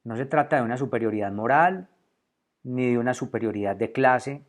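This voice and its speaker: A man, maybe, giving a solo philosophy lecture to camera, thinking aloud, restarting phrases and repeating words as he searches for the right term. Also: medium pitch. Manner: thoughtful, with frequent restarts and repeated words while searching for terms.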